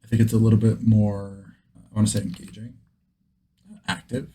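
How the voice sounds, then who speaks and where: A man's voice: on the low side, flat delivery, normal speed, dark tone, slight background noise. A man talking casually and informally as a vlog host, thinking aloud in a self-deprecating way. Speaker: host, beginner voice actor. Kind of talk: casual vlog chat to camera.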